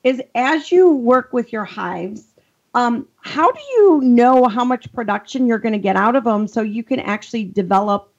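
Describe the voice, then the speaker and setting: A woman's voice: mid-range voice, dull audio. A woman from Chicago with a Chicago accent, co-hosting an interview and asking long, friendly questions. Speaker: podcast co-host.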